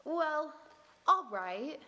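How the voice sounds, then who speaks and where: A woman playing a flustered, excitable woman suspect in a radio play, breathless and bewildered. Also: high voice, extremely slow, heavily acted. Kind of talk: 1940s radio acting.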